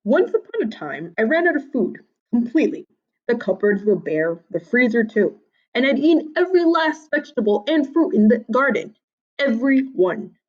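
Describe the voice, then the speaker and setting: A woman's voice: fairly high pitch, very animated, normal speed, darkish tone, lo-fi sound, voice pushed up. A woman voicing a sly, hungry storybook wolf who narrates his tale to children in a wry, theatrical, confiding way. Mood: mock-innocent, confiding.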